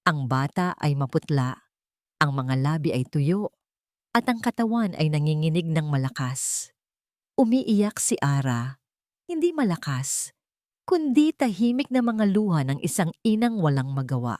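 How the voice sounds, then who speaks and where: A Filipino woman narrates a romantic drama in a lowish voice. Her intonation is very lively and rises and falls often. The sound is dry, with hardly any room on it. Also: unhurried, no echo. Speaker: story narrator.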